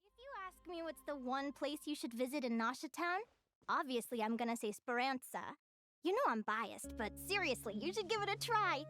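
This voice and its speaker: Plucky optimistic adventurer girl for a video game: high voice, lively swings, sincere and casual. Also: fairly quick, dry recording. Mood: upbeat, eager. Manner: earnest, spirited, casual phrasing. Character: upbeat, stubbornly optimistic young adventurer girl. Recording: background music under the voice.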